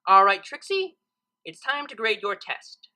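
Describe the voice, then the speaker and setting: Woman acting bookish female pony, storybook figure, smug, prim, bright, higher-pitched. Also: very animated, unhurried. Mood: brisk.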